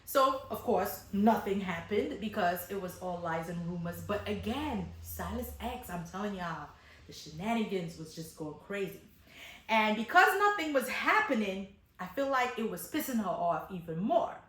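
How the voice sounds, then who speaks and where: A woman's voice: medium pitch, lively intonation, normal speed, fairly bright tone. A woman telling a personal story casually and expressively, with slangy asides to viewers, rhetorical questions and acted-out bits of dialogue. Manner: chatty and slangy, addressing viewers directly. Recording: echoey, reverberant room.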